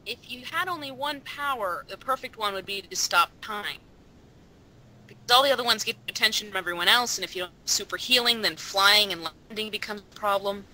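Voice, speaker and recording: A woman's voice: mid-range voice, a little fast, bright, forceful tone, poor audio. A woman chatting casually and explaining her view in a matter-of-fact way. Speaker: voice actor, cast member. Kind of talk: casual chat.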